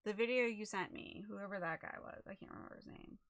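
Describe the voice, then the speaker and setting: A woman chatting casually as she sets up a livestream, a little raspy and losing her voice, rambling with many fillers into a desk microphone. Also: mid-range voice, fast talker, fairly bright tone. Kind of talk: casual chat while setting up a stream.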